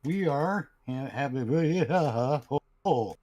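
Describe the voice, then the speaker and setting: A man's voice: mid-range voice, animated, extremely slow, darkish tone, no echo, studio quality. A man on a podcast panel giving casual, rambling plugs in a bumbling, jokey way.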